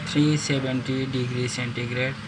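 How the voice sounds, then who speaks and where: A man whose first language is Nepali talks through a phone repair in halting, non-native English, with many pauses and restarts. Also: mid-range voice, level tone. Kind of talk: hands-on repair tutorial. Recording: loud background noise.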